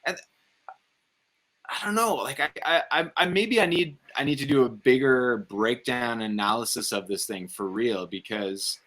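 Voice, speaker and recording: A man's voice: medium pitch, normal speed, fairly bright tone. A man talking casually about movies, opinionated and rambling, with some swearing. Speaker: film review show panelist.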